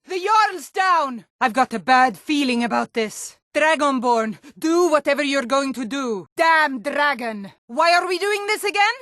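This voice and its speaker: A woman's voice: a little high, a bit bright, dry recording, studio quality. A woman playing an earnest, protective Nord townswoman in a fantasy video game, with a plain-spoken, emphatic delivery. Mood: alarmed. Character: earnest, protective Nord townswoman, no longer young, loyal to rebels.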